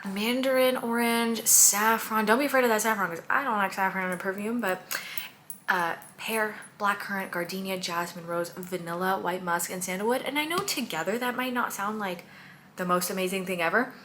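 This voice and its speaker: Woman, casual perfume haul chat, crisp bright tone, gushing, filler-heavy. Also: mid-range voice, moderate pace, slight background noise. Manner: chatty, gushing, lots of "like" and trailing notes lists. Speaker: perfume content creator.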